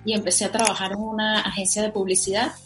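A woman's voice: mid-range voice, fast. Venezuelan woman chatting as a podcast guest, upbeat and gushy, faint music under her. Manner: enthusiastic, many fillers, animated. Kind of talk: casual podcast interview.

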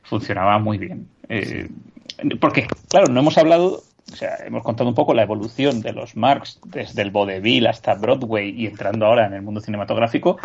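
A man's voice: on the low side, a little fast, vocal fry, slightly rough, dull audio. A man from in a casual film discussion on a podcast, explaining at length and checking for agreement. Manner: talkative, explanatory, seeks agreement with tag questions. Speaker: podcast panelist.

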